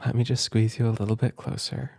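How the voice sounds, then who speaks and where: A young man doing gentle, affectionate ASMR roleplay, speaking softly and simply to a listener as if reading aloud at bedtime. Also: medium pitch, level tone, fast, creaky. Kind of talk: soft intimate ASMR roleplay and storybook reading. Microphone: close microphone in a dry space.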